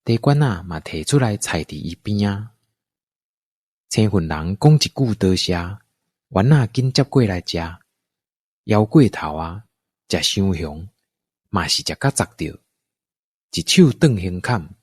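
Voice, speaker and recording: A man's voice: deep voice, animated, a little slow, pauses often, a little mellow, slightly rough, dry recording. A man with a Taiwanese accent narrating a scripted radio drama as a storyteller.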